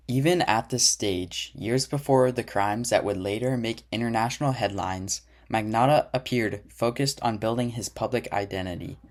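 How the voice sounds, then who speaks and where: A man reading scripted documentary narration in an even, measured way. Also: fairly low pitch.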